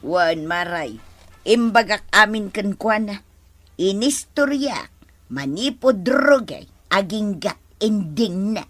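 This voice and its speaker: A woman's voice: mid-range voice, animated, slow pace, dry recording. A woman with an Ilocano accent acting an aggrieved, fretting village wife in a comedy drama. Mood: chatty.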